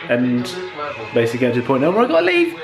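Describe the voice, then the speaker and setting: A man's voice: medium pitch, wildly swooping pitch, rapid-fire, gravelly, music in the background. A man with a cold, chatting casually and jokingly to camera in a vlog. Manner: chatty, jokey, rambling. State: congested with a cold. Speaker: vlogger.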